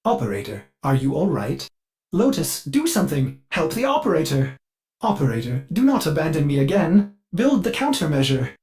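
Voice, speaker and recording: A ship's computer servant from a video game, eager and fussy in its courtesy. The delivery is precise and theatrical, swooping widely in pitch with a manic edge. Faint music sits behind the voice. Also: male voice, huge pitch swings, normal speed. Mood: courteous, helpful, then alarmed, pleading. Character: ship artificial intelligence servant, fussy, eager, excitable, with violent outbursts. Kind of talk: scripted video game character dialogue.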